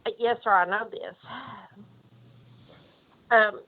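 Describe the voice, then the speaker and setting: A woman's voice: medium pitch, steady tone, slow pace. A woman talking unscripted on the phone, hesitant and often repeating herself. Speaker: prank call recipient. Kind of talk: unscripted phone conversation and voicemail. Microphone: telephone. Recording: tinny, narrow phone line.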